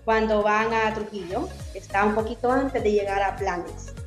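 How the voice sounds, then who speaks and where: A woman's voice: medium pitch, steady tone, moderate pace. A woman in her thirties from Honduras, an author speaking as a guest over a video call, thoughtful and hesitant, with many fillers and restarts. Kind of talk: remote interview. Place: indoor room at home. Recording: roomy video call with faint music.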